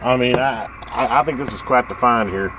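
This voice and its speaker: A man's voice: mid-range voice, normal speed, sharp tone, rough recording. A man giving a casual, unscripted hands-on demonstration as a video host, rambling in a folksy, enthusiastic way. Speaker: hobbyist video host showing a vintage radio. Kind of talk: casual unscripted show-and-tell. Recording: radio static and background noise. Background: CB radio hiss and chatter.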